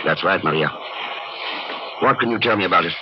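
An actor playing a cool, determined secret agent in a 1950s radio drama, terse, dry and commanding. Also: male voice, low voice, brisk, background noise, natural voice. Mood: serious, probing. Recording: muffled old radio recording.

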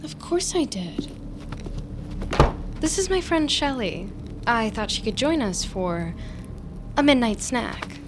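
A sly, manipulative woman in an audio drama. Her voice is on the high side and unhurried, coolly sardonic and coaxing, with a callous edge. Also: sing-song, very noisy. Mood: breezy, feigned friendliness.

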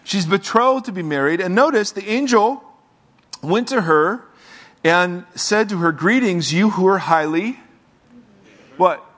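A man's voice: high voice, animated, measured pace, long pauses, fairly bright tone. A man preaching a sermon to a live congregation, reading scripture and talking in a loose, joking, conversational way. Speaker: pastor.

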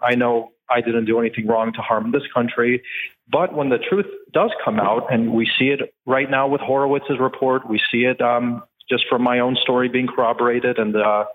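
A man answering a radio host's questions by phone, earnest, pausing often on "uh". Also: medium pitch, steady tone. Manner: earnest, many "uh" pauses. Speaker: guest. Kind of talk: interview answers. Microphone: phone line. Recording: tinny phone line with faint hiss.

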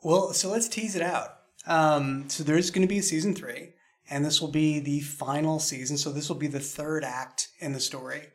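A man talking casually as a show creator on a podcast, halting as he thinks aloud. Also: fairly high pitch, steady tone. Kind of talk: casual chat in a behind-the-scenes podcast.

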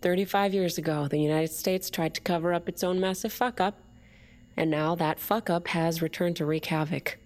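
An actress playing a defiant, cynical leader of an underground separatist movement in an audio drama. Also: female voice, fairly low pitch, moderate pace, darkish tone, natural voice. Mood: assured, grave.

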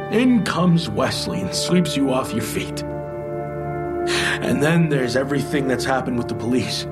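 An actor playing a guilt-ridden, lovesick, weary man in an audio drama, speaking in a halting, subdued, confessional way. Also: medium pitch. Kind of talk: naturalistic audio drama acting.

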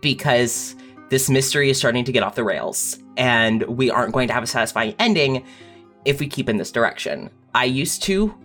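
A woman's voice: extremely low pitch, expressive, moderate pace, a bit bright, soft background music. A woman speaking candidly and conversationally as a guest writer reflecting on craft. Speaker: mystery writer, podcast guest.

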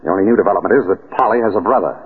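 An actor playing an easygoing detective husband in an old radio drama, speaking in a dry, teasing way. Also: male voice, on the low side, very fast, breathy edge. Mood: wry. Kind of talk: 1930s radio drama acting. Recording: tinny old radio broadcast.

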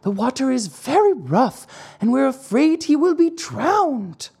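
A little old woman from a storybook, played by a narrator, speaks in a high, worried voice. She explains things anxiously. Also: big pitch swings, measured pace, creaky, a little mellow, breathy edge, heavily acted.

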